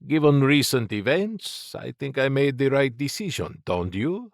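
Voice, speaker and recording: A man's voice: mid-range voice, big pitch swings, a little slow, gravelly, no room sound, studio quality, pitched above the performer's own voice. A male narrator voicing a cagey, cheerful wealthy man in an audiobook, light and dismissive in manner. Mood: light, wry. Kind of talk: audiobook character dialogue.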